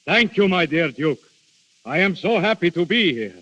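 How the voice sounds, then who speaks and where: Grand, high-voiced Death as a courtly prince. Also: male voice, animated, normal speed, pushed and bright, heavily acted. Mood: courtly, charming. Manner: theatrical, courtly, formal, savoring words. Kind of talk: 1930s radio drama acting. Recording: dull, hissy 1930s radio recording, faint music.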